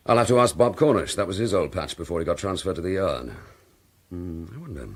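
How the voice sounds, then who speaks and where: An actor playing a determined, dryly courteous British police detective in a radio drama, husky and clipped. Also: male voice, low voice, expressive, fairly quick. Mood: musing.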